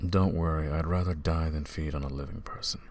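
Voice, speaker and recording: A man voicing a brooding male vampire who hunts vampires, soft-spoken and calm in an ASMR roleplay. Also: a bass voice, a little fast. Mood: weary.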